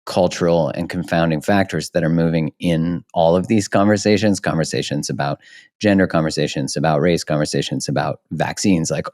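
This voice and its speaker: A man's voice: low voice, darkish tone. A man hosting a podcast interview, speaking in an earnest, reflective way and searching for words. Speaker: podcast host. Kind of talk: interview conversation.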